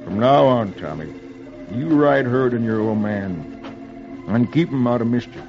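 A gruff outlaw rancher and father from a radio western , played by a man, talks in a folksy, dramatic way. His delivery swings widely, on a dull old broadcast with music. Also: medium pitch, expressive, fairly quick, close to an everyday voice. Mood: rueful. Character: gruff outlaw cowboy father, rueful and urgent.

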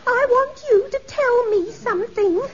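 An actress playing a tearful, melodramatic young fiancée in a radio comedy, sobbing and gushing. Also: female voice, extremely high pitch, measured pace. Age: young adult. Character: tearful melodramatic young woman, an office girl engaged to be married. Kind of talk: 1950s radio comedy acting. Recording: dull old radio recording.

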